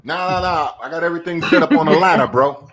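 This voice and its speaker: A man co-hosting a casual podcast, animated and quick, repeating words when excited. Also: a little high, crowd noise. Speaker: podcast co-host.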